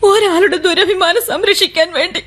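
A tearful, defiant young daughter. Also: female voice, extremely high pitch, moderate pace, fairly bright tone. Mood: sobbing. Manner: trembling, pleading, sobbing at times. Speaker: actor in radio drama. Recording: old AM radio broadcast.

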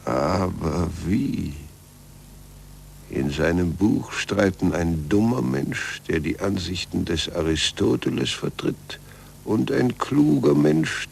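An actor playing a stern, zealous churchman in a 1940s German radio drama, declamatory and deliberate, with a gravelly voice. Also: lowish voice, darkish tone, exaggerated voice. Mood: insinuating. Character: stern, zealous old churchman, a cardinal inquisitor. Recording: noisy 1940s radio recording with hum.

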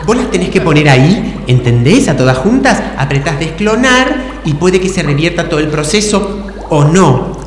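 A woman's voice: low voice, sing-song, moderate pace, a crowd in the background. A woman with a Rioplatense Argentine accent playing a bossy, scheming, chatty friend in an improvised stage comedy. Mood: conspiratorial. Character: bossy scheming female friend, an excitable amateur inventor. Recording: echoey hall, clearly noisy.

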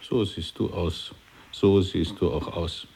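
Give me a man with a low voice who repeats each answer, set back from the microphone. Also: fairly even intonation, slow pace, gravelly, darkish tone, exaggerated voice. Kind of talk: sound-poetry call and response.

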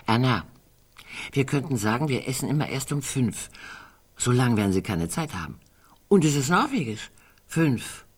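A woman's voice: extremely low pitch, big pitch swings, normal speed, long pauses, breathy edge, heavily acted. An actress playing a wry, musing, fussy older woman in a literary radio drama, digressing as she talks. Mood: brooding, wry.

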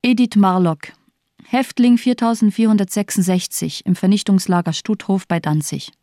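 A woman's voice: mid-range voice, moderate pace, mellow, no echo, studio quality, natural voice. An actress playing an embittered, disillusioned woman survivor in a radio drama, speaking coldly with bitter sarcasm. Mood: cold, indifferent. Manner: cold, lifeless, sardonic delivery.

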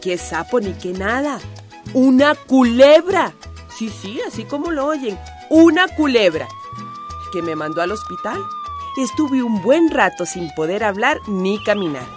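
A plucky Costa Rican woman tells her life story in a radio drama. Her voice is fairly high and swings expressively, chatty and emphatic, with music underneath. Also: sing-song, unhurried. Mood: playful storytelling.

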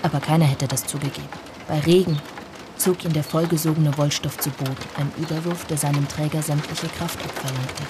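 An actor playing a somber villager who narrates a radio drama in a measured, brooding way. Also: mid-range voice, steady tone, background noise, close to an everyday voice. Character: somber village narrator recalling an old tragedy. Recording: music bed under the voice. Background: music.

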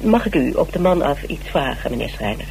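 Eager, higher-voiced academic. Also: male voice, sing-song, a little fast, creaky, darkish tone, poor audio. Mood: earnest, hesitant. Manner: eager, hesitant, repeating himself. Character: eager, fawning male criminology lecturer. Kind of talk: radio drama acting. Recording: hissy old radio recording.

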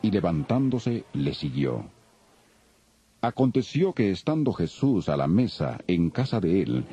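A man's voice: low voice, dark tone. A man narrating the Bible in a solemn, dramatic, scripted storytelling style. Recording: dull lo-fi, music behind.

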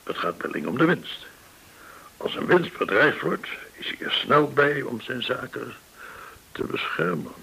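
An actor playing a courteous, shrewd, well-connected informant in a Dutch radio drama, speaking in a formal, deliberate way. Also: male voice, medium pitch, sing-song, creaky, bright, forceful tone, slightly rough, exaggerated voice, poor audio. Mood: measured explaining. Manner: formal, measured, deliberate explaining. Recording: hissy old radio recording.

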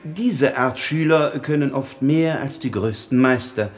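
An actor playing a shrewd, money-minded music master who flatters smoothly, in the theatrical style of 1960s German radio comedy. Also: male voice, medium pitch, measured pace, close to an everyday voice. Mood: flattering, persuasive.

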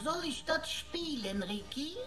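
A woman's voice: high-pitched, animated, measured pace, music in the background. A woman playing a chatty, cheeky, overexcited talking computer in a German radio play, full of playful, mock-pompous wordplay. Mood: teasing.

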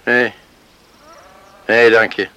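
An actor playing a gruff, reserved older man who is uneasy with emotion, in a Dutch radio drama. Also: mid-range voice, flat delivery, extremely slow, bright, forceful tone, slight background noise, poor audio.

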